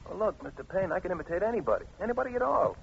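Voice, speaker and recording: Cocky nightclub impressionist, slangy, talks fast, voice jumps around, old radio drama. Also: male voice, high voice, very animated, vocal fry, slightly rough, dull audio, exaggerated voice. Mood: cocky, showy. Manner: brash, slangy patter. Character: cocky, eager small-time nightclub impressionist. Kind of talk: 1950s radio drama acting.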